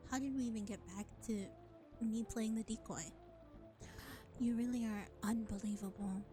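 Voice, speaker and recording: A woman playing a world-weary, powerful vampire in a scripted audio roleplay, deliberate and condescending, speaking to the listener as a reluctant ally. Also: fairly high pitch, steady tone, music in the background, lightly acted. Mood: exasperated. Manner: deliberate, exasperated, sighing, condescending.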